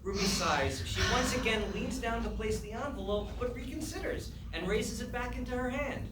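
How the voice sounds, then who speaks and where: A man's voice: very high voice, lively intonation, normal speed. A man narrating stage directions from a script at a live comedy table read. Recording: echoey room, distant mic, noisy.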